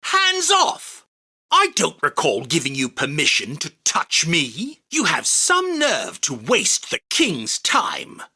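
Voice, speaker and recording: A man's voice: fairly high pitch, huge pitch swings, slow pace, bright, forceful tone, husky, heavily acted. A male voice actor playing an arrogant, grandiose self-proclaimed king of card duelists, with a pompous, commanding, theatrical delivery for a video game. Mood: fierce, commanding, then bitter, defiant. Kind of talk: video game character battle lines.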